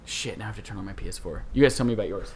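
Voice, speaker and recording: A man's voice: on the low side, very fast, a little mellow. A man hosting a podcast and chatting casually about video games. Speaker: podcast host. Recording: light background noise.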